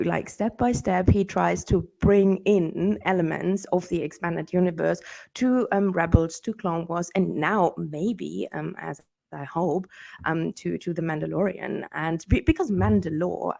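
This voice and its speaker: A woman's voice: lowish voice, vocal fry, darkish tone. A German woman co-hosting a casual fan podcast, talking enthusiastically and rambling with lots of fillers. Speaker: podcast co-host. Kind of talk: casual chat.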